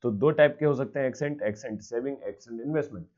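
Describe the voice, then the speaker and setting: A man's voice: mid-range voice, fast, a little mellow. Male teacher going through a lecture step by step, asks a question then answers it, muffled lo-fi audio. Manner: mixes English terms into his speech. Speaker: commerce teacher. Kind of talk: recorded exam-prep lecture.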